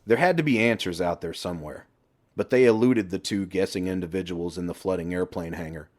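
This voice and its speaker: Low-voiced man narrating an audiobook. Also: fairly quick. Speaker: narrator, author reading a story.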